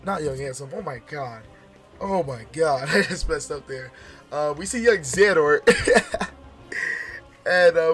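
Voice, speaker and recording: Hoarse man rambling through trailer commentary. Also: a little high, a bit bright. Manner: rambling, many fillers and restarts; forcing his voice out. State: hoarse, losing his voice. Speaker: gaming video host.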